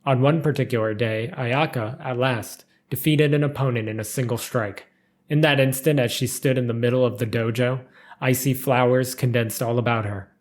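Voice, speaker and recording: A man's voice: mid-range voice, fairly even intonation, normal speed. Give a man's voice in a middle register, reading scripted lore as a narrator. He tells a game character's backstory in full, written sentences.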